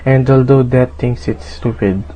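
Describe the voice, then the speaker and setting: A man's voice: mid-range voice, steady tone, unhurried, mellow, lo-fi sound. A man narrating a radio drama as a scripted storyteller.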